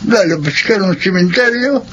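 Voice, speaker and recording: Radio drama role: gentle old Filipino lolo, unhurried high voice, dull recording. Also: male voice, expressive, a little hiss or hum. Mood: kindly concern. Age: older. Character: kindly, unhurried old grandfather figure.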